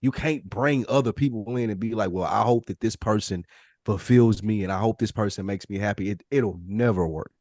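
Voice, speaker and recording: A man's voice: fairly low pitch, brisk, a little mellow, no echo, clean studio sound. A man co-hosting a podcast, talking casually in an earnest, emphatic way and repeating his points. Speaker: podcast co-host. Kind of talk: casual panel discussion.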